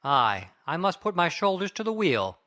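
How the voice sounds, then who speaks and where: A sombre Victorian gentleman lawyer, acted by a man, broods aloud in an audiobook drama. His grave, theatrical delivery rises and falls widely, full of anxious musing. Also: high voice, sing-song, moderate pace, exaggerated voice. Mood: brooding worry. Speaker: audiobook cast reader. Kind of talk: dramatic reading of a Victorian novel.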